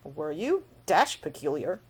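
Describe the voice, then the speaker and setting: A woman voicing a large, cheery, roguish idle gentleman in an audiobook, with a formal, lightly amused drawl. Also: deep voice, big pitch swings, measured pace, husky, exaggerated voice. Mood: amused, suave. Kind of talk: audiobook character dialogue.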